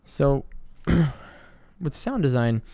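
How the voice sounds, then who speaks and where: A man presenting a casual sound design tutorial in a low-key, explanatory way. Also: very animated, drawn-out words, mellow, dry recording. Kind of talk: casual screen-recorded tutorial narration.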